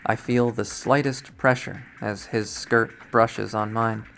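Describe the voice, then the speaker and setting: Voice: mid-range voice, steady tone, slow pace, gravelly, a bit bright, soft background music. A reader narrating a visual novel aloud in a dry, wry way. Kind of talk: dramatic read-aloud narration.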